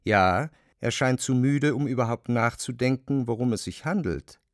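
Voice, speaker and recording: A man's voice: mid-range voice, normal speed, no echo, clean studio sound, close to an everyday voice. A man narrating a literary radio play in a dramatic storytelling style. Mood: sober.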